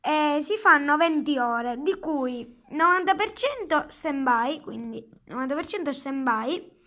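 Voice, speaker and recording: Casual product reviewer, high voice, unhurried halting explanations. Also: expressive, bright, forceful tone. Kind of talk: casual hands-on product demonstration. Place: indoors at a table at home. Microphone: handheld phone.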